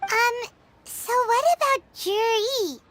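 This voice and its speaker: A woman voicing a tiny, childlike fairy companion in a video game, squeaky, perky and eager. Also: takes it slowly. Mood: chirpy, curious. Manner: perky, refers to self in third person. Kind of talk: English video game voice acting. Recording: music behind the voice.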